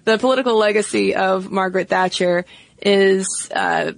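A woman's voice: mid-range voice, huge pitch swings, normal speed, creaky. A woman co-hosting a podcast in casual chat, thoughtful and hedging with fillers as she talks. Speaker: podcast co-host.

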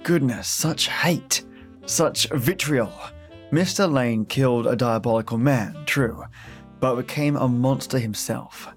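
A man's voice: medium pitch, slow pace, soft background music. A man hosting a podcast, narrating an outro in a dramatic, effusive way. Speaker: podcast host. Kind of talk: scripted narration, show outro.

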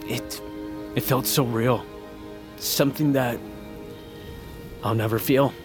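An actor playing a bitter, haunted young nobleman in a fantasy audio drama, speaking formally and haltingly with sighs. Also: medium pitch, fairly even intonation, natural voice. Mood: grieving, searching. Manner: halting, sighing, solemn and formal. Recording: music under the voice. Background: cinematic music.

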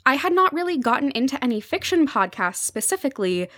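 A woman's voice: high voice, sing-song, brisk, fairly bright tone, no room sound. Young woman, enthusiastic, dry close sound. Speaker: podcast guest, journalist covering fiction podcasts. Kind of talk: conversational interview commentary.

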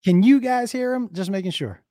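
A man hosting a casual chat, hoarse from losing his voice, halting and rambling with many restarts. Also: high voice, mellow, dry recording, studio quality. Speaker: host.

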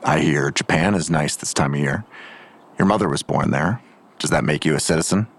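A man's voice: extremely low pitch, a little fast, creaky, husky, exaggerated voice. An actor playing a blunt, world-weary father in an audio drama, dry and clipped in delivery. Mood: dry, resigned.

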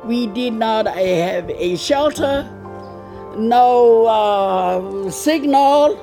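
An older woman from Samoa, speaking emotionally and haltingly. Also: on the high side, lively intonation, warm tone, faint music behind. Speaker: community resident.

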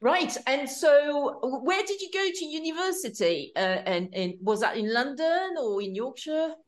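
A woman's voice: sits high, expressive, a little slow. A woman hosting her podcast in casual interview style, speaking hesitantly with many fillers and restarts. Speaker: podcast host. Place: home in London.

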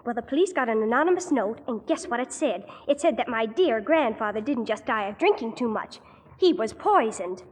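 An actress playing an excitable, spiteful young small-town woman in a 1940s radio drama, gossipy, breathless and eager. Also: a little high, fairly quick, slightly rough. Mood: excited, gossipy. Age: young adult. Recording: 1940s radio recording, reverberant studio.

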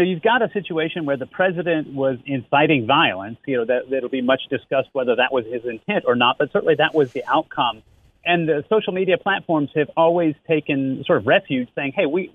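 A man's voice: a little high, no room sound. A man giving conversational expert commentary as an interview guest, explaining things with frequent asides over a phone connection. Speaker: interview guest, company chief executive. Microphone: remote phone-like connection. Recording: muffled, narrow phone-line sound.

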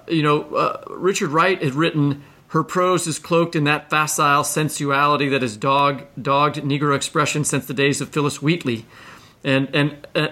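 A man co-hosting a conversational literary interview, discursive and halting, often checking in with the listener. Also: on the high side, bright, forceful tone. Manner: discursive, halting phrasing, checks in with "right?" and "you know". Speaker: podcast co-host.

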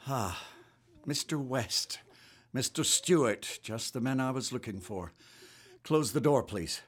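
Radio-drama role: exhausted hospital chief, stern and commanding, lively pitch. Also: male voice, slow, a little hiss or hum. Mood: weary. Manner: stern, tired, authoritative. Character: weary middle-aged male hospital chief doctor.

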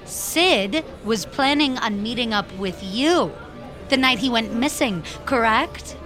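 A woman's voice: on the high side, animated, slow pace, over music. An actress playing a sardonic female office coworker who probes and insinuates pointedly in an audio drama. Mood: accusing, sardonic. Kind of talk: naturalistic audio drama acting.

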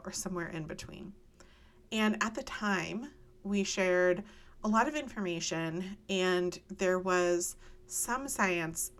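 A woman hosting a podcast, delivering a scripted introduction to listeners. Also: lowish voice. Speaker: podcast host.

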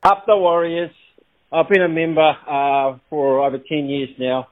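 A man's voice: a little high, flat delivery, a little slow. A man leaving a casual, unscripted fan voicemail, hesitant and repeating words. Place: phone voicemail. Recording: tinny phone voicemail line.

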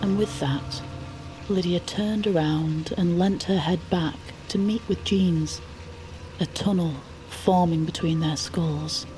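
A woman narrating scripted audio fiction as a measured, hushed storyteller. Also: lowish voice, steady tone, a little hiss or hum, poor audio. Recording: loud music behind narration.

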